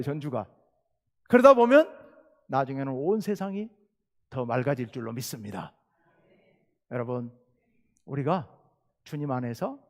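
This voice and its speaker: Middle-aged man, Jeolla Korean accent, pastor preaching, high lively voice, long reverb of a church sanctuary. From South Jeolla, Korea. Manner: earnest preaching, rhetorical questions, rising emphasis. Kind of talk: sermon.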